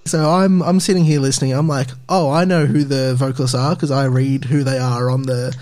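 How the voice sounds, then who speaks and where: A man's voice: mid-range voice, fairly even intonation, brisk, darkish tone. A man in a middle register hosts an interview and asks his questions casually. He rambles and restarts as he goes, and laughs easily along the way.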